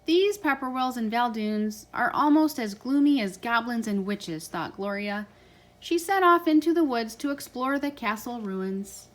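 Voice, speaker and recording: A woman's voice: a little high, animated, a little slow. A woman reading a children's storybook aloud as a parent reading with a child.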